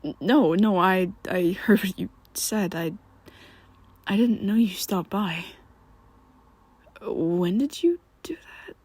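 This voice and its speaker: A woman's voice: mid-range voice, expressive, takes it slowly, pauses often, darkish tone, husky. A woman voicing a young male vampire best friend in an ASMR roleplay, who talks hesitantly and half-whispers with nervous stammers. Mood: evasive.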